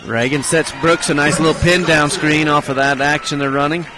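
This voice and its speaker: A man giving color commentary at a high school basketball game, explaining spacing, screens and rebounds like a coach. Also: steady tone, normal speed. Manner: coach-like breakdown of plays and spacing. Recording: game broadcast with gym noise. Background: crowd and court noise of the game.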